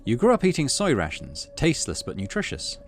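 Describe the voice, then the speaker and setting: A man's voice: mid-range voice, wildly swooping pitch, brisk, faint music behind. A man hosting a gaming video, narrating and musing in a casual, conversational way. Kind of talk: casual playthrough commentary and narration.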